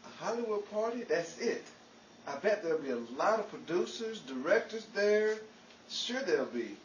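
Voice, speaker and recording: A man's voice: high voice, big pitch swings, normal speed, lo-fi sound. A man playing Dennis, a cocky, touchy, scheming and self-important showbiz hopeful in 1940s radio comedy style. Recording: echoey room, distant mic, faint noise.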